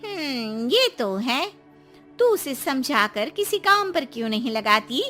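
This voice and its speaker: A woman's voice: high voice, very animated, slow pace, pushed and bright, faint music behind, exaggerated voice. A woman voicing a chatty, nosy sister. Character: the mother-in-law's sister, chatty.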